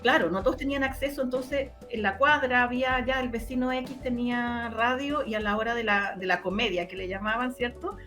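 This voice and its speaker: A woman's voice: on the high side, steady tone, fairly quick, hardly any pauses, fairly bright tone, soft background music. A woman with a Chilean accent talking reflectively and expressively in an interview, often repeating words for emphasis. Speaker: interviewee, radio drama and audio story producer. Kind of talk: conversational interview.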